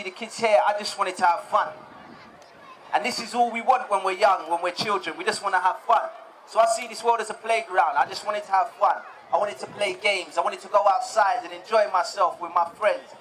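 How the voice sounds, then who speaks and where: A young man raised in the United Kingdom, giving a relaxed personal testimony talk to an audience, often repeating words as he goes. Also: high-pitched, slight background noise, poor audio.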